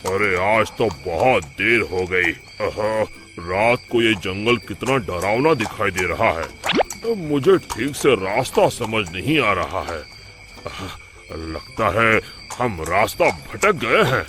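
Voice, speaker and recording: A man voicing a greedy, bossy village shopkeeper in a cartoon story, blustering with drawn-out exclamations. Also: fairly low pitch, expressive, a bit bright. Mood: uneasy.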